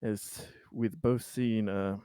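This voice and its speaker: Slow, halting man improvising at tabletop. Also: lowish voice, fairly even intonation, mellow, clean tone, dry recording, clean studio sound. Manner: halting, hesitant, searching for words. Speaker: tabletop roleplaying player speaking in character. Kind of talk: improvised in-character tabletop roleplay.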